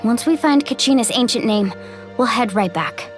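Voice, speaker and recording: A woman's voice: fairly high pitch, lively intonation, normal speed, close to an everyday voice. An actress playing an earnest, loyal and determined young warrior girl in an anime game dub. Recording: game music behind.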